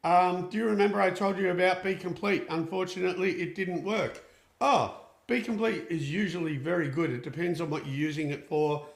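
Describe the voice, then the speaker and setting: A chatty man with a fairly high voice hosts a relaxed live Q&A, upbeat and full of fillers and restarts. The sound rings with the echo of a hard room. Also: fairly quick, gravelly. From Austria. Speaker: equine therapist and show host. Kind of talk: casual live Q&A chat. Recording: echoey room.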